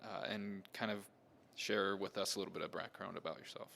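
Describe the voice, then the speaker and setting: A man in his late twenties co-hosting an interview podcast, enthusiastic and quick to laugh. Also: low voice, fairly even intonation, gravelly, pushed and bright, slight background noise. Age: 20s. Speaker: podcast co-host.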